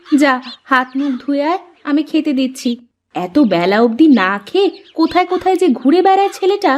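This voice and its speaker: A woman's voice: sits high, animated, moderate pace. A woman voicing a hard-working village housewife and mother in a cartoon, with a fondly scolding, complaining manner. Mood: caring. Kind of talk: cartoon dialogue acting.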